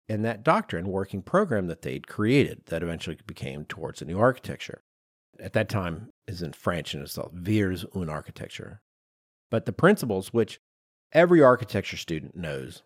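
A man hosting a podcast, explaining design history in an informal, conversational, animated storytelling way with acted-out asides. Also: deep voice, no echo, clean studio sound. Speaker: podcast host.

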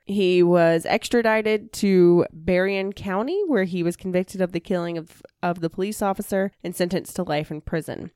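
A woman's voice: fairly low pitch, no echo. Close, dry-voiced woman telling a story. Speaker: true crime podcast co-host telling the week's story. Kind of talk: casual storytelling with banter. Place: a house, recorded indoors.

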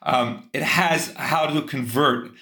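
A man's voice: fairly high pitch, slow, bright, forceful tone, roomy sound. A man speaking as a guest in a casual interview. He talks earnestly in long runs and restarts his sentences often. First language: English.

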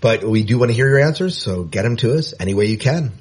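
A man co-hosting a podcast in casual chat, easygoing and self-deprecating, often trailing off quieter. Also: medium pitch, expressive, quick pace, slightly rough. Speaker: podcast co-host.

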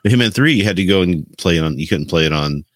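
A man's voice: sits low, animated, fast talker, dry recording. A man chatting casually as a podcast co-host, sharing his own gaming habits and opinions.

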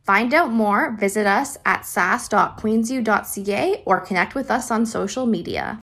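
A woman's voice: medium pitch, moderate pace, a bit bright. A woman from student services delivering an upbeat, polished scripted promo.

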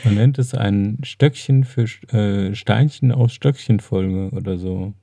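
A man's voice: lowish voice, unhurried, creaky, mellow, no room sound. A man co-hosting a podcast in casual conversation, laid-back and wry, close to the microphone. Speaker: podcast co-host. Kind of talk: casual chat.

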